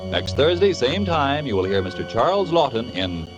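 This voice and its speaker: A man announcing in polished, emphatic 1940s radio style, reading a scripted pitch and credits. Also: big pitch swings, moderate pace, rough recording. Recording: old radio recording, music behind.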